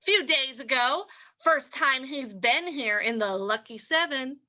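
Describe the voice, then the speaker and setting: An uneasy gangster's moll acted by a woman, high and bright, with lively swoops in her pitch. She is a reluctant accomplice, pleading and hesitant in the manner of an old radio play. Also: unhurried, highs cut off, exaggerated voice. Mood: reporting. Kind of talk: old-time radio drama acting.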